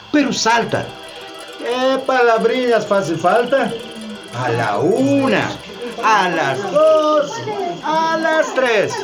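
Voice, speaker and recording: A jolly carnival barker, swooping up and down and stretching out words. The delivery is showy and teasing, radio-play style, with music playing behind. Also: mid-range voice. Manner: sing-song, showy, mixing Portuguese words into Spanish. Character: jolly, teasing Brazilian carnival barker inviting people along. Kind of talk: theatrical radio drama acting.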